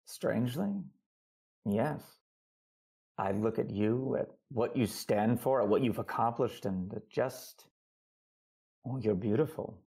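An actor playing a calm, earnest male alien officer who hopes to be a Jedi, with a husky, intimate, measured voice in an audio drama. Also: mid-range voice, full character voice. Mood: admiring, tender.

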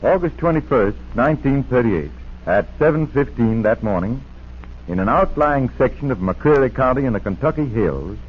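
Sober police chief, male actor, formal yet animated 1940s radio narration with faint hiss. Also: mid-range voice, moderate pace, natural voice. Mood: grave, dramatic. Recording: dull, hissy 1940s radio broadcast.

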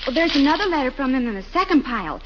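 An actress playing a meek, polite, literal-minded secretary in a 1940s radio comedy. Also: female voice, sits high, sing-song, rapid-fire. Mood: meek, helpful. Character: meek, obliging, naive female office secretary. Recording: muffled, noisy 1940s radio recording.

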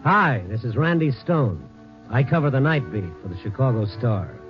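An actor playing a wry, world-weary newspaper night reporter in a 1950s radio drama, with a sardonic, hard-boiled way of storytelling. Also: male voice, medium pitch, sing-song, normal speed, lightly acted. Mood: grave, measured. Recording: muffled 1950s radio recording, music behind.

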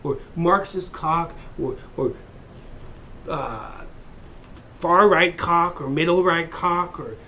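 A man's voice: a little high, slow, long pauses, pushed and bright, slight background noise. A man vlogging in a heated, profane, rambling rant to his viewers. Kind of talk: unscripted rant to camera.

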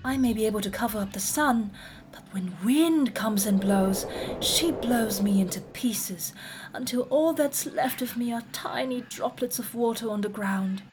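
A woman voicing airy female spirits of mist and wind in a storybook, speaking in a hushed, half-whispered way. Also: on the high side, measured pace, lightly acted.

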